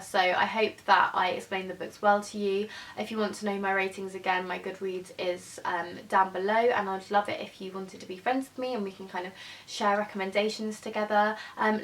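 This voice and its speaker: A young woman in her twenties, chatting casually and a little hesitantly as she reviews books she has read. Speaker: book vlogger. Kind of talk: casual book review chat. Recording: voice from a distance over faint rumble.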